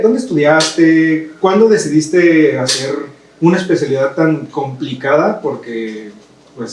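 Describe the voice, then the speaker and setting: A man's voice: on the high side, a little mellow. A man with a Mexican accent hosting a podcast, teasing his guest in slangy, casual talk. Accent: Mexican Spanish. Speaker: podcast host. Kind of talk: casual chat. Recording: echoey room, distant from mic, faint hiss.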